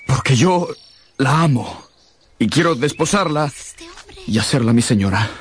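A man's voice: mid-range voice, animated, measured pace, slight background noise, natural voice. An actor playing a courtly, earnest young nobleman in love, in a radio drama. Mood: earnest pleading. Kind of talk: Spanish radio drama acting.

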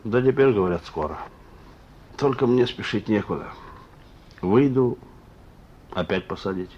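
A man's voice: lowish voice, lively intonation, a little slow, a little hiss or hum. A male actor in a radio play as a sullen, wry young thief, curt and slangy, on a muffled recording. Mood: guarded, bitter. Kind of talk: Russian radio play acting.

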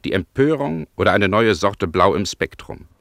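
Detached observer in arty radio play, low voice, dry sound, terse chant-like phrases. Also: male voice, lively intonation, normal speed, fairly bright tone, no room sound. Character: detached male observer listing poetic city impressions. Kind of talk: poetic radio play montage, clipped phrases.

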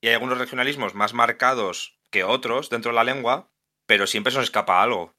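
A man's voice: medium pitch, fairly quick, sharp tone. A man Asturias, a video game translator chatting as a podcast guest, thinking aloud with frequent restarts. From Asturias, Spain. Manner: thoughtful, many restarts and self-corrections. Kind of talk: casual podcast conversation.

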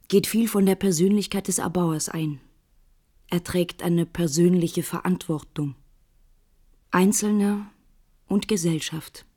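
A woman giving a measured literary reading for a radio play. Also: on the low side, warm tone. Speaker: reader.

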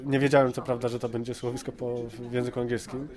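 A man's voice: mid-range voice, flat delivery, rapid-fire. A man giving a casual interview, hesitant and often repeating words, with a tongue-in-cheek streak. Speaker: amateur voice actor being interviewed. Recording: busy crowd chatter behind. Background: crowd chatter.

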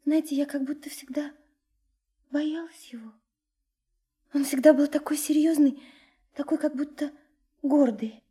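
An actress in a radio drama playing a sincere, lovesick young woman, soft and hesitant, often dropping into a breathy whisper. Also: high voice, steady tone, measured pace, pauses often. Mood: earnest, confiding. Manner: hesitant, earnest, confiding. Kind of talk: intimate radio drama acting.

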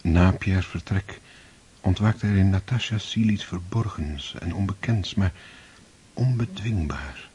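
A man narrating a radio play in a steady, measured storytelling manner. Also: deep voice, husky, highs cut off. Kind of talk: scripted narration.

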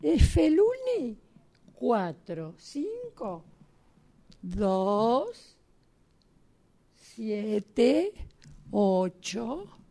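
A woman improvising numbers and nonsense words in a halting acting exercise. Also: a little high, very animated, vocal fry, dark tone. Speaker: radio drama workshop participant.

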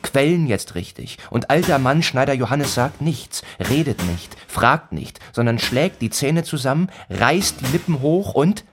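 A man narrating a literary radio play in a deliberate, rhythmic way, with repeated phrases, as a stern teller of a bleak village tale. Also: mid-range voice, expressive, background noise, close to an everyday voice. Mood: intense, building.

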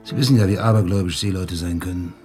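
An actor playing a dutiful, uneasy ship's lieutenant in a radio drama, formal and deferential. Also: male voice, low-pitched, steady tone, quick pace, darkish tone, soft background music, natural voice. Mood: uneasy, respectful. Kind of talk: German radio drama acting.

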